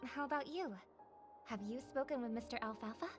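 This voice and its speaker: High, gentle young woman played by an actress. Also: a little fast, close to an everyday voice. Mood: gentle, earnest. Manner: polite, diplomatic, earnest. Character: gentle, courteous, hopeful young woman idol. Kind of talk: video game voice acting. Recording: game audio with prominent background music.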